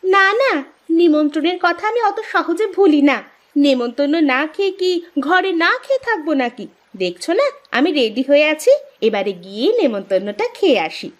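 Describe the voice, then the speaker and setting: A woman voicing a proud, sharp-tongued rich village wife in a cartoon, speaking emphatically. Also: high voice, sing-song, normal speed. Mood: haughty, impatient. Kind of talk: Bengali cartoon story dialogue.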